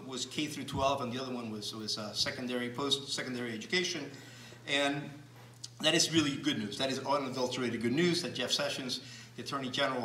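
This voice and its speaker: A man born in Cuba giving a measured lecture to an audience, often restarting phrases and pausing with fillers. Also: mid-range voice, pushed and bright, echoey room, recorded from a distance, slight background noise, poor audio. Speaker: speaker giving a talk.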